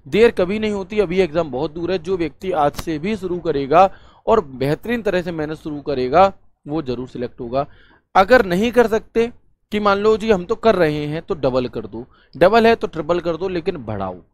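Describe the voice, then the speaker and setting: Male coaching teacher, high-pitched, repetitive question-and-answer lecture style. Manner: repetitive, motivational, asks and answers his own questions. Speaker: exam-prep computer teacher.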